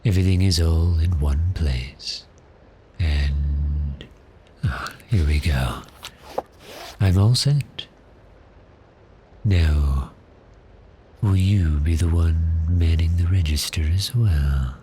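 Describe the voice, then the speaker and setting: A man playing a cheerful, courteous vampire posing as a customer, speaking politely and gently in an ASMR roleplay. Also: a bass voice, slight background noise. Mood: musing, pleasant. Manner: polite, unhurried, softly genteel with measured pauses.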